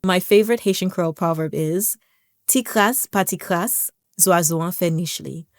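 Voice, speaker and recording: A woman's voice: on the low side, moderate pace, no room sound, clean studio sound, soft background music. Chatty American woman, encouraging. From United States. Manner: conversational, stumbles and repeats words, laughs now and then. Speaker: language vlogger. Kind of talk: casual encouraging talk to camera.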